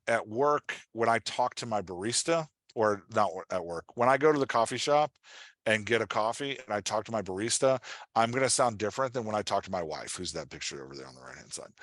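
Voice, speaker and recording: A man's voice: lowish voice, fairly bright tone, no room sound. A man teaching as a writing coach in an informal, conversational webinar style, explaining things and often checking in with his listeners.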